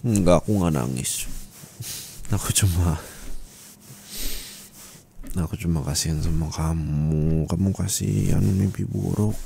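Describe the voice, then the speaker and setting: Man acting a tender, soothing husband, lowish voice. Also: a little slow, vocal fry, long pauses, mellow, a little hiss or hum, full character voice. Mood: soothing, apologetic. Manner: tender, soothing, coaxing, reassuring. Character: gentle caring young husband comforting his distressed wife. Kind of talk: ASMR roleplay acting.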